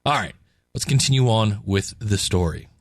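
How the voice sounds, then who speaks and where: A man's voice: fairly low pitch, animated, normal speed, dry recording, clean studio sound. A man hosting a podcast, who breaks in to talk to his listeners in a chatty, enthusiastic way. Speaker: podcast host. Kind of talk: casual podcast commentary.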